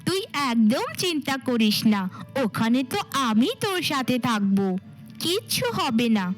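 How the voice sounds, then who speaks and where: Woman voicing a high, swooping, scheming cartoon stepmother. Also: big pitch swings, unhurried, soft background music. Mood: sugary, coaxing. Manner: theatrical, coaxing and cajoling. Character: scheming, cruel stepmother feigning sugary motherly love. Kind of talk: Bengali cartoon fairy-tale voice acting.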